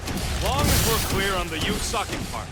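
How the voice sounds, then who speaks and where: An actor playing a cranky, sarcastic little raccoon mercenary in a video game, grumbling in slangy, snide lines. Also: male voice, high-pitched, very animated, moderate pace, in a large hall, over music. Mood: snide, irritable. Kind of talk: video game cutscene voice acting.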